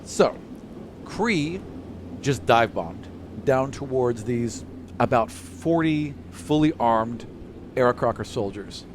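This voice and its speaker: A man's voice: medium pitch, animated, slow pace, noisy background. A man acting as game master, narrating an improvised fantasy scene and chatting with his players. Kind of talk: improvised tabletop game narration and table banter.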